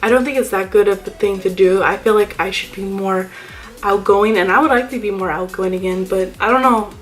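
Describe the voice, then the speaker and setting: A young woman vlogging at a middling pitch, rambling and thinking out loud as she answers questions about herself. Her talk is loose and casual. Soft music plays faintly behind her. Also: a little fast. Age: young adult. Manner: rambling and self-reflective. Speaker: YouTuber. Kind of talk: casual vlog chat.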